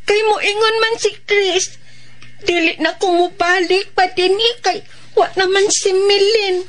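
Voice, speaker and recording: A woman's voice: extremely high pitch, steady tone, unhurried, a bit bright. A woman with a Filipino accent voicing a sad, anxious little child in a radio drama, plaintive and tearful. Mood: plaintive, worried.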